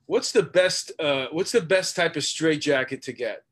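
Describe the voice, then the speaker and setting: Fifties dude, kinda high voice, jokey rambling cohost on a video call. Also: male voice, moderate pace, a bit bright, husky. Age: 50s. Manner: joking, rambling. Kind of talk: casual conversation.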